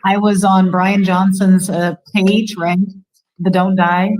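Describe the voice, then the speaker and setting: A woman's voice: medium pitch, level tone, takes it slowly, darkish tone, slight background noise, poor audio. A woman hosting a casual online audio chat, upbeat and chatty, laughing often. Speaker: host.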